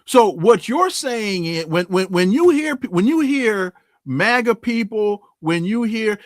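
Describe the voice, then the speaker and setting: Political scientist guest, male, animated, dry close sound, unhurried. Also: high voice, no echo. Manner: impassioned, emphatic, frequent restarts. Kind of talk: political commentary on talk radio.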